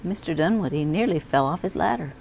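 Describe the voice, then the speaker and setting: Female storybook reader, animated and lively, slightly low-pitched with some creak, faint noise behind. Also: brisk, dull audio. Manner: expressive, acts out dialogue and emphasis. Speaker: school media specialist reading aloud. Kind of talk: children's storybook read-aloud.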